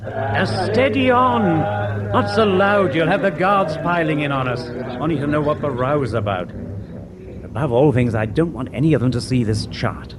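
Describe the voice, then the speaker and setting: A man playing a confident, practical British seafaring officer in a radio war drama, brisk and reasoning. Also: on the high side, animated, background noise, close to an everyday voice. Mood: urgent, cautioning. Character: confident British naval man, practical, level-headed leader.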